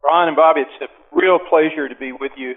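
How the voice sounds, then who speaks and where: A man speaking as an interview guest over the telephone, explaining his views in a deliberate, emphatic way with pauses. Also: fairly high pitch. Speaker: advocacy group president, interview guest. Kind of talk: phone interview, explanatory opinion talk. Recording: narrow-band tinny phone line.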